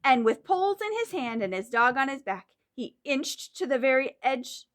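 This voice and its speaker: A woman leading a children's storytime, talking directly to young kids in an animated, encouraging way as she presents songs and reads aloud. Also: high-pitched, normal speed.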